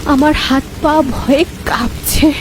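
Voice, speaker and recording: A woman's voice: high-pitched, steady tone, slow pace, background noise. A woman narrating a first-person horror story in a dramatic, suspenseful way.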